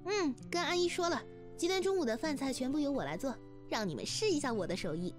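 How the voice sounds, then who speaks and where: Visual novel heroine: cheerful, caring young woman, quick and high-pitched, expressive delivery. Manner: lively, expressive. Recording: music behind the voice.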